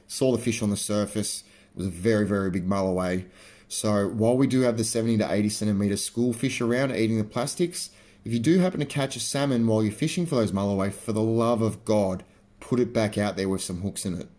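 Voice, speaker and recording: A man in his thirties with an Australian accent, chatting casually to camera about fishing lures, relaxed with frequent fillers. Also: on the low side, a little fast. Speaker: fishing vlogger. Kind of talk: casual explanatory talk to camera. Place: indoors by a garage door.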